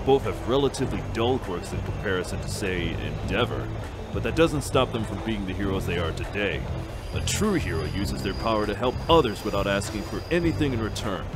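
A man voicing a gentle, earnest older hero mentor in a comic dub. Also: fairly low pitch, natural voice. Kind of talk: fan comic dub acting.

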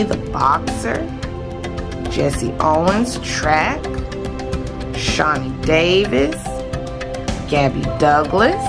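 A woman reading a rhyming children's picture book aloud expressively, phrase by phrase, with emphasis. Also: sits low. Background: music.

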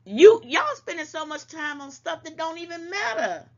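A woman's voice: extremely high pitch, very animated, moderate pace, darkish tone. Older lady lecturing her viewers, bit stuffy, calls em baby, dull lo-fi mic. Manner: scolding, preachy, calls listeners baby. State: congested at times. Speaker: online talk show host. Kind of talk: casual commentary to viewers.

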